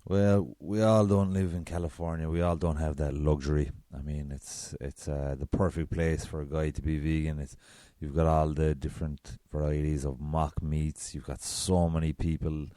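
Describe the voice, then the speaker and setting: An Irish man with a very deep voice hosting a solo podcast, chatty and self-deprecating. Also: level tone, moderate pace, hardly any pauses, warm tone, no echo, clean studio sound. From south of Ireland. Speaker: podcast host.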